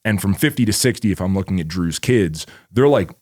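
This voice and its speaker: Deep-voiced man, casual podcast rambling. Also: expressive, brisk, a little mellow, breathy edge, dry recording, studio quality. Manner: rambling, swears freely, many "like" fillers. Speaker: podcast co-host. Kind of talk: casual chat.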